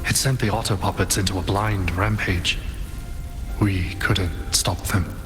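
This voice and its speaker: Slow, reverberant weary male soldier. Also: sits low, steady tone, a bit bright. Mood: grateful, weary. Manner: slow, halting, solemn. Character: weary fallen male soldier, wistful and grateful. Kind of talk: dramatic game voice acting. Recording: long hall reverb, music behind.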